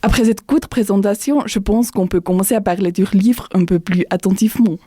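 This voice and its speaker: A young woman student hosting a podcast discussion, speaking slowly and carefully. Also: mid-range voice, warm tone, husky, dry recording, clean studio sound. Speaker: host and student.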